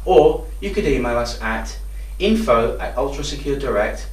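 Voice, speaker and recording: A man's voice: medium pitch, animated, moderate pace. Guy doing a product demo, voice goes up and down, roomy and a bit far from the mic. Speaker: product demonstrator. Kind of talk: instructional product demonstration. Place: indoor room with hard walls. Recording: roomy echo, distant microphone.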